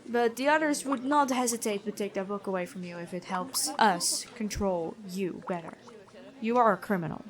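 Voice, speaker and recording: A woman playing a gentle but stern, bookish female prison guard in a fantasy ASMR roleplay, chatty and inquisitive. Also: mid-range voice, moderate pace, close to an everyday voice. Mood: frank, compassionate. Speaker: ASMR voice actor.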